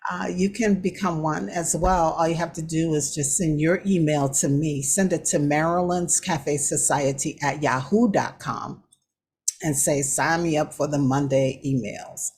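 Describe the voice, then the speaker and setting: A woman hosting a lifestyle talk show, speaking to listeners in an encouraging, conversational way with a husky voice. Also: on the low side, darkish tone. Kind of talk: motivational talk and sign-off to listeners.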